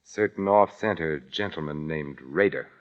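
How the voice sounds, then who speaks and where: An actor playing a suave, witty, unflappable adventurer-sleuth in a 1940s radio detective drama, urbane, articulate and wryly teasing. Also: male voice, very deep voice, normal speed. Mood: wry, probing. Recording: dull vintage radio recording, faint music.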